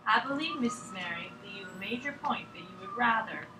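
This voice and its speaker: A woman's voice: medium pitch, animated, brisk, lightly acted. A woman acting a patient, deferential official who keeps questioning a sick patient in a drama table read. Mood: patient, persistent. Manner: polite, deferential, insistent. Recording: echoey room, distant mic, noisy background.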